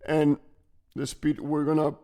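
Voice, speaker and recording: A man with a slightly high-pitched voice walks through software as a tutorial presenter. His delivery is casual and explanatory, as if thinking aloud while he demonstrates. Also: level tone, unhurried.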